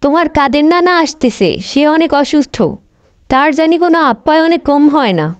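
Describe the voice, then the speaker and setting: A woman's voice: high voice, a little fast, no echo, highs cut off, lightly acted. A woman voicing a bossy, melodramatic elderly grandmother in a comic cartoon, doting on her brother and nagging her husband. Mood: fussing. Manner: scolding, melodramatic, gushing over her brother. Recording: noisy amateur cartoon dub with clicks.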